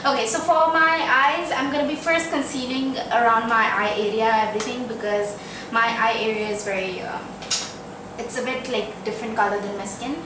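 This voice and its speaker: A woman's voice: a little high, fairly even intonation, moderate pace. Chatty Indian woman, Trinidadian-tinged accent, roomy. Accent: Indian English with Trinidadian touches. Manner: chatty, pleading, many fillers. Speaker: beauty vlogger. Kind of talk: makeup tutorial talk. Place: small bedroom, indoors. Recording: roomy, distant mic with background noise.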